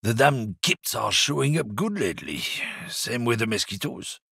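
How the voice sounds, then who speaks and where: An actor playing a smug, bigoted lorry driver in a video game, talking in a husky, conspiratorial way. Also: male voice, medium pitch, animated, moderate pace, fairly bright tone, no echo, studio quality, full character voice. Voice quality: gravelly, husky.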